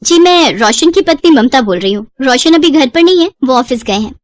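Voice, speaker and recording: A woman voicing a polite young married daughter-in-law with a soft manner in a moral story. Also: sits high, lively intonation, brisk, no echo, studio quality.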